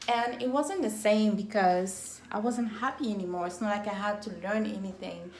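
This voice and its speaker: A woman in her thirties from Cameroon whose first language is French. She speaks English with a strong Cameroonian French accent, slowly and deliberately, in a casual vlog recorded on her phone. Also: medium pitch, darkish tone, a little hiss or hum. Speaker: event planner and entrepreneur. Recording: echoey room on a phone.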